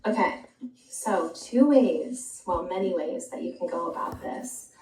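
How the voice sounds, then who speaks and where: Female art instructor, gentle and chatty, live-stream audio with room echo. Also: lowish voice, animated, measured pace, distant mic. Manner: soft-spoken, chatty, answering viewers while drawing. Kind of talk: live online art class.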